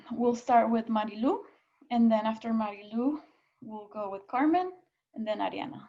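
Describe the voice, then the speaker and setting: A young woman from California hosting and introducing an online student panel, speaking haltingly with frequent ums. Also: on the high side, lo-fi sound. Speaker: panel host and moderator.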